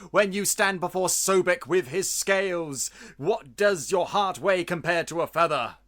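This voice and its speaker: A man hosting a podcast in casual chat, theatrical and booming, jumping between voices. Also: measured pace, a bit bright. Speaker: podcast host.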